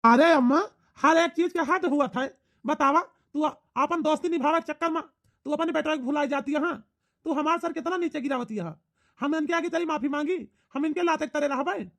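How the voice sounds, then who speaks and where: Man playing a high-pitched, protesting cartoon husband. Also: moderate pace, gravelly, a bit bright, exaggerated voice. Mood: defiant. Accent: Awadhi. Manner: protesting, excuse-making. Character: defensive, proud young husband in a village cartoon. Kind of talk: rustic cartoon comedy dialogue.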